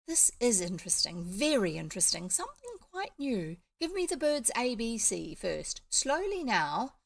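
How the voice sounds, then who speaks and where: A woman acts out a kindly gentleman doctor from a storybook. He is earnest, curious and exclamatory, with a creaky voice. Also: on the high side, very animated, normal speed, full character voice. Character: kindly, curious, astonished male animal-loving doctor.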